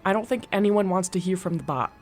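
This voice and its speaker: A woman's voice: mid-range voice, fast talker, a little mellow, soft background music, lightly acted. A woman playing a concerned, level-headed circus performer in a radio drama, earnest and reasoning. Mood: uneasy, earnest.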